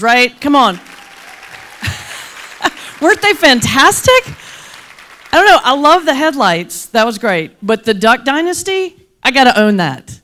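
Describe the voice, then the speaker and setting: A woman with a folksy Southern mountain accent delivers a playful keynote, coaxing responses from the crowd. Her voice sits a little high and bounces with humor. She talks like a pastor who also works comedy clubs. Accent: North Carolina mountains Southern. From North Carolina. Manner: lively, playful, folksy with audience call-and-response. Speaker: pastor and comedian, guest speaker. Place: large banquet hall before a crowd.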